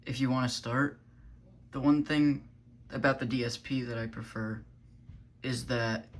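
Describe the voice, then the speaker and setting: A man giving a casual, unscripted product review as a hobbyist, thinking aloud in a loose, hesitant way. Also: medium pitch. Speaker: weather radio hobbyist reviewing gear.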